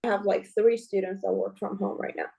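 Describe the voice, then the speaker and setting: A woman co-hosting a podcast, speaking in an emphatic, heartfelt way with quick asides and a frequent "right?". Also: medium pitch, little pitch movement, vocal fry, warm tone. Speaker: podcast co-host. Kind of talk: casual conversation.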